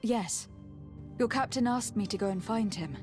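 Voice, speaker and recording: A woman's voice: fairly high pitch, normal speed, darkish tone, lightly acted. An actress playing a polite, composed young noblewoman in a fantasy game, courteous and formal as she speaks. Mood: inquiring. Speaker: voice actor in a video game. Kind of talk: scripted fantasy game dialogue. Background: music.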